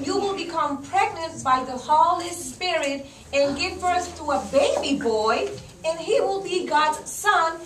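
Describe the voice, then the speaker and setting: A woman's voice: sits high, a bit bright. A woman voicing a solemn angel who proclaims reassuringly in an amateur Christmas puppet show. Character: solemn angel bringing God's message. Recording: echoey room from far off, noisy.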